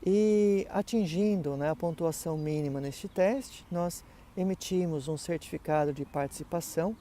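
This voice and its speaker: A man's voice: fairly high pitch, normal speed, mellow, a little hiss or hum. A middle-aged man teaching German, explaining how his online courses work in a conversational way, full of fillers and tag questions. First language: Portuguese.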